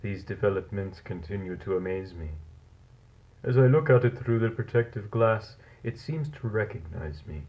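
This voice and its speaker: A man playing a cold, fascinated scientist in a horror audio log, speaking in a measured, formal, clinical way. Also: fairly low pitch, animated, natural voice. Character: cold, fascinated male scientist logging a monstrous experiment. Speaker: narrator of a found-footage audio log. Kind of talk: scripted horror narration, dated lab log. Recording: dull lo-fi tape recording.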